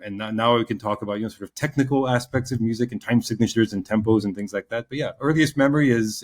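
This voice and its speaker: Male co-host from Pakistan, forties, low voice, reflective and halting in casual storytelling. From Pakistan, moved to the US around age ten. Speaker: podcast co-host telling his story.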